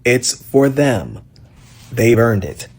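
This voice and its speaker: A man gives his opinion straight to viewers at a slow pace. His voice is of medium pitch and he is emphatic, leaning on short repeated phrases. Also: very animated. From Haitian background. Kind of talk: opinion monologue to camera.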